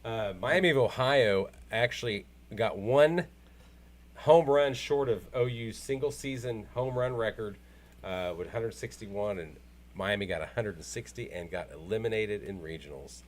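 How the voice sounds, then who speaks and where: A man's voice: lowish voice, normal speed, a little hiss or hum. A man co-hosting a casual sports talk show, chatting about games and standings.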